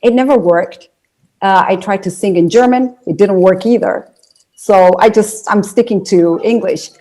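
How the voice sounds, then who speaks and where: A woman from Ethiopia whose first language is Amharic, telling her story as an interview guest in a relaxed, conversational way. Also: mid-range voice, moderate pace. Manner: storytelling, with restarts and fillers. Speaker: interview guest, singer and speaker.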